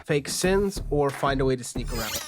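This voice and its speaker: A man's voice: mid-range voice, lively intonation, normal speed. A man acting as game master, narrating a tabletop roleplaying game to the players. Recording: music bed under the voice.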